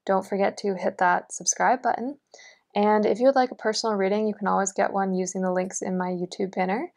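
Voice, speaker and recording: A woman's voice: mid-range voice, a little mellow. Encouraging woman reading tarot cards. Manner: earnest, encouraging, lots of fillers. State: losing her voice. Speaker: tarot reader. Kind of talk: pick-a-card tarot reading. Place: indoors at a table, only hands seen.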